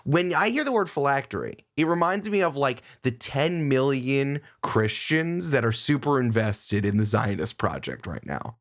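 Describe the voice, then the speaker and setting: A man talking casually as a podcast guest, opinionated and animated, often digressing as he argues his point. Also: medium pitch.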